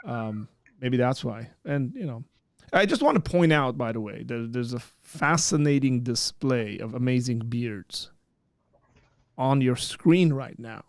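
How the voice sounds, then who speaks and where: Thirties male host, casual rambler, mid pitch, dry close mic. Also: animated, unhurried, vocal fry, no room sound. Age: 30s. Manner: rambling, many fillers and restarts. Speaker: host of a live birthday stream. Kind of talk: casual chat. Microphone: streaming setup with green screen.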